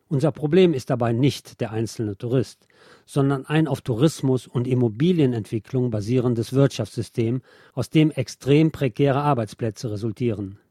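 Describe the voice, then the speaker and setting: A man presents a written interview as a radio host, reading it out in scripted narration. His voice is mellow and soft-edged, sitting at an average male pitch. The sound is dry, with very little room on it. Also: no echo.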